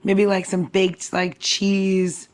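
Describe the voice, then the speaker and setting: A woman's voice: mid-range voice, flat delivery, takes it slowly. A woman co-hosting a podcast in casual chat, talking in a very informal, chatty and emphatic way. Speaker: podcast co-host.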